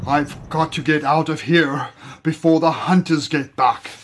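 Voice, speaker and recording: A woman voicing a big, crafty male lion for a storybook, begging in drawn-out words. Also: low voice, very noisy, pitched below the performer's own voice. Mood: pleading.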